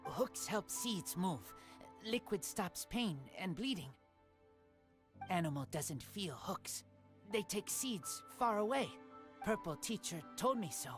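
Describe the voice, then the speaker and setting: A man playing a wild boy raised by wolves, speaking in terse, halting, broken sentences for a video game, earnest in tone. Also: high voice, very animated, full character voice. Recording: game audio with music behind.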